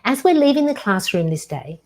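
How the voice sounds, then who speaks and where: A woman in her fifties hosting her own show, telling a personal story slowly and deliberately, with dramatic pauses. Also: on the high side, sing-song, dark tone. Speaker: podcast host.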